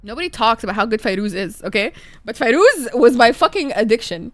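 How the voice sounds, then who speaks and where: A young woman from Egypt with an Egyptian accent, chatting casually with viewers while streaming, excitable and freely swearing. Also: a little high, a little fast, soft background music. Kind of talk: casual chat while gaming.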